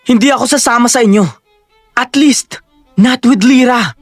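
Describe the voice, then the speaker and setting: A man's voice: very high voice, moderate pace, no echo, soft background music. A man playing a devoted young rich heir who speaks with earnest resolve in an audio drama. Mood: defiant.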